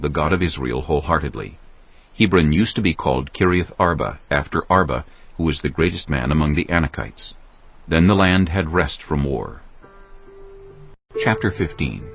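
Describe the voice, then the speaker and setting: Really deep guy narrating an audio bible, solemn and measured, music clearly behind him, bit muffled. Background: prominent background music.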